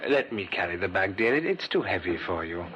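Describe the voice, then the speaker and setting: An actor in an old radio drama playing a deceitful young lodger who poses as a devoted husband, polite and hesitant in manner. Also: male voice, low voice, sing-song, brisk, muffled recording. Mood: courteous. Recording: noisy old radio recording.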